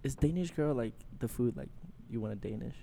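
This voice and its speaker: A man with an average-pitched voice, playful and quick to laugh as he co-hosts a relaxed podcast about films. He leans on "like" and rambles in a chatty way. Faint background noise runs under the recording. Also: creaky. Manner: playful, giggly, says "like" a lot. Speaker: podcast co-host. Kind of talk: casual podcast chat about movies.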